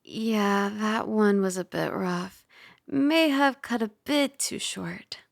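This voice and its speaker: Woman as a gentle, teasing dragon girl, creaky, close-up. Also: mid-range voice, takes it slowly, dry recording. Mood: gentle, reassuring. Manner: soft, chatty, gently instructive, sometimes dropping to a whisper. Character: caring, teasing dragon girl roommate, mildly scolding. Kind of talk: ASMR roleplay.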